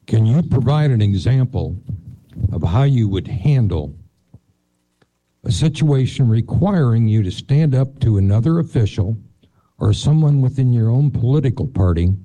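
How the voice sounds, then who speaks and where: Very dark-voiced male moderator, formal. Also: mid-range voice, animated, moderate pace, poor audio. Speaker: forum moderator. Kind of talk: reading formal questions.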